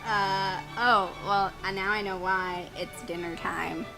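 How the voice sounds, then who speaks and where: A woman hosting a let's play, reading story text aloud in a playful, expressive way with exclamations and casual asides. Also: mid-range voice, slow, creaky, rough recording. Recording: music behind the voice.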